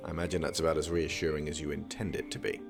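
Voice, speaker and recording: A man acting in an audio drama as a young scholarly prince, speaking with dry, measured, formal wit. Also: extremely low pitch, little pitch movement, creaky, over music. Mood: cool, formal.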